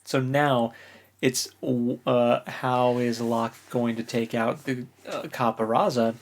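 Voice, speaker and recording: A podcast co-host chatting casually about books, recapping in an animated way with relaxed slang. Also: medium pitch, slow. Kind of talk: casual book discussion chat.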